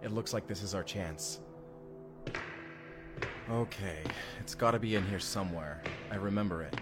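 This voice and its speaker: A man's voice: deep voice, moderate pace, over music, lightly acted. A man playing an urgent, determined time traveler in an audio roleplay drama, earnest and intense. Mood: tense, searching. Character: urgent, determined male time traveler, protective of his partner.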